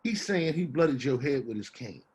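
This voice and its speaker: A man's voice: medium pitch, expressive, normal speed, darkish tone, breathy edge. A man playing a proud, hardened Vietnam veteran turned gravedigger, talking tough street talk in a dramatic play reading. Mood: scornful.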